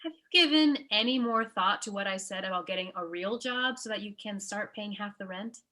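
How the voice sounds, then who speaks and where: A woman's voice: mid-range voice, brisk, bright, forceful tone, lightly acted. A woman playing a sensible, disapproving young girlfriend in a comedy script table read, earnest and reproachful.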